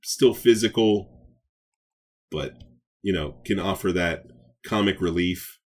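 Male podcast co-host, slightly low husky voice, unhurried, playful casual banter. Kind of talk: casual pop culture banter.

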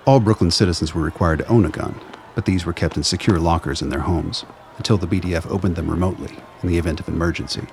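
A man delivers scripted dramatic narration in an extremely low, gravelly voice. The storytelling is measured and ominous, with faint ambience underneath. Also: a little mellow, a little hiss or hum. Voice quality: deep, gravelly.

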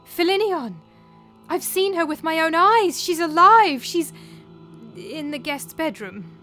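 Woman acting an excitable, high-pitched old nurse. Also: slow, soft background music, full character voice. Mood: urgent, excited. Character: aging, stubborn, devoted nurse slave, excitable and insistent.

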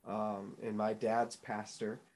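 Young guy from new england, kinda low voice, earnest, lots of ums and restarts. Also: little pitch movement, slow, a little mellow. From New England, United States. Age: young adult. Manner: earnest storyteller, many fillers and restarts. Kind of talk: casual interview chat.

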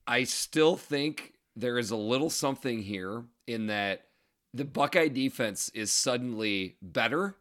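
This is a man co-hosting a casual sports podcast, his voice worn raspy and hoarse from screaming at a game. Despite the strain, the tone stays bright and forceful. He runs through scores and numbers, then eases into loose talk. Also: mid-range voice, unhurried. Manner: reads scores and stats in a steady run, then chats. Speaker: podcast co-host.

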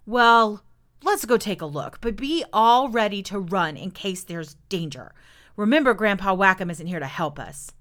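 A woman's voice: mid-range voice, lively intonation, moderate pace, fairly bright tone, close to an everyday voice. Woman as a wary, emphatic little beaver boy. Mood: cautious. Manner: firm, emphatic.

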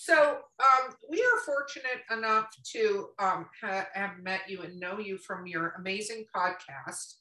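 A woman's voice: mid-range voice, a little slow, few pauses, bright, forceful tone. A woman hosting a podcast in a casual, playful, exclamatory interview style over drinks. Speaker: podcast host. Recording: roomy echo.

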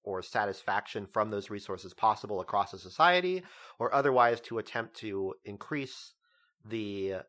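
A man's voice: on the low side, expressive, moderate pace, pushed and bright. A man co-hosting a casual podcast, explaining ideas at length in a lecturing way. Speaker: podcast co-host.

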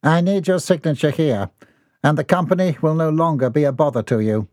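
A scheming uncle speaks in a smooth, condescending voice, close to a plain male register, as a man puts him on. It is recorded dry and close. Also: a little high, brisk, a little mellow, no room sound, voice pushed up, lightly acted.

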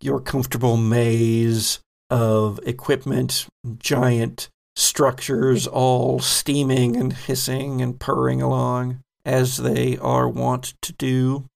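A man narrating a tabletop horror game as game master, in slow, deliberate descriptions broken by chuckles. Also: mid-range voice, level tone, creaky, a little mellow.

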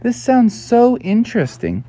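Man putting on an excitable high-pitched cartoon frog. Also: a little slow, exaggerated voice. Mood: curious, excited. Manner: slangy, enthusiastic. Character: eager, excitable young male cartoon frog.

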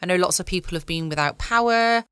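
An English woman chatting informally and cosily as the host of a relaxed bedtime podcast. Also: lowish voice, fast talker, clear, resonant tone, dry recording, studio quality. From United Kingdom. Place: at home, indoors.

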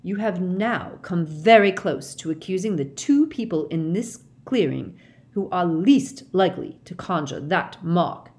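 A woman's voice: fairly low pitch, expressive, unhurried, a little mellow. A woman voicing a senior male wizard official who speaks with cold, clipped anger. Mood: cold fury.

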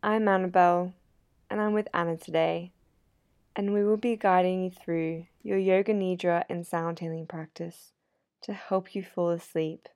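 A woman guiding a sleep meditation in a soothing, unhurried, gently instructive manner. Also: lowish voice, fairly even intonation, smooth, no echo, clean studio sound. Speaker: yoga nidra meditation guide. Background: soft sound healing instrumental music.

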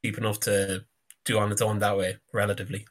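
A man's voice: fairly low pitch, flat delivery, fast talker. A man on a podcast panel, casually discussing a TV episode.

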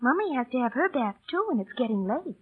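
An actress playing a young mother gently coaxing her small child in a vintage radio advert. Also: high voice, sing-song, fast, noisy background. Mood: playful coaxing. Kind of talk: 1940s radio advert acting. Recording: dull old radio recording, loud music.

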